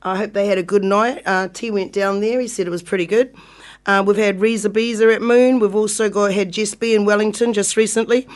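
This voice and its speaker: A woman hosting a radio chat show, relaxed and chatty, laughing easily. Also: medium pitch, brisk. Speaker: radio podcast host. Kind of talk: casual chat. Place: radio studio.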